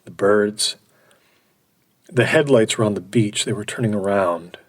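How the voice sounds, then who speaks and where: Preoccupied male horror-drama narrator: lowish, husky, breathy delivery. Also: unhurried. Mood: distracted, uncertain. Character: preoccupied man recounting following someone at night. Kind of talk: first-person horror audio drama monologue.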